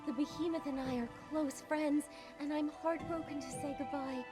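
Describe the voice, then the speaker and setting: A woman playing a gentle, poetic wandering bard in a video game, speaking in a lyrical, unhurried storytelling manner. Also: high voice, fairly even intonation, over music, close to an everyday voice. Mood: wistful, tender. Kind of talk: scripted video game voice acting.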